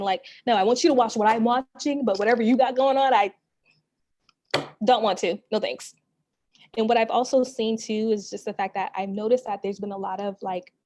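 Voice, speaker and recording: A woman's voice: medium pitch, a little mellow. A woman speaking as a guest entrepreneur on a video call, casual and chatty, encouraging the other speaker and often asking "you know what I mean". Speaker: guest speaker, startup co-founder. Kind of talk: casual conversational talk.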